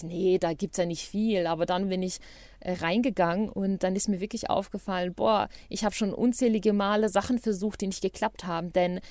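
A woman's voice: medium pitch, darkish tone, no room sound. Podcast co-host, woman, non-native German speaker, upbeat and thoughtful, dry close sound. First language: not German. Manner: enthusiastic, reflective. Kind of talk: casual podcast chat.

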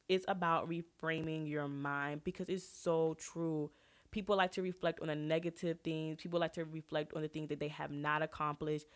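A young woman with a low voice hosts her own podcast, talking straight to her listeners. She is congested and sniffly from a cold, and she asks them direct, earnest questions in a casual, personal way. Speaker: podcast host. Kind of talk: casual solo podcast advice talk.

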